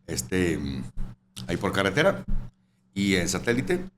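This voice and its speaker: A man's voice: deep voice, sing-song, unhurried. A man in his forties from Italy with an Italian accent, a chef and restaurant owner talking casually in an interview, rambling with lots of fillers and tag questions. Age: 40s. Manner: rambling, full of fillers and "¿verdad?". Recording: noisy room ambience.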